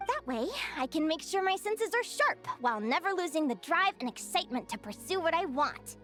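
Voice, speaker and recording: High, animated young adventurer girl. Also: a little fast, soft background music, exaggerated voice. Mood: earnest, musing. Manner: bubbly, earnest, expressive. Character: cheerful, curious, earnest young woman adventurer and naturalist. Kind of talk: animated video game character acting.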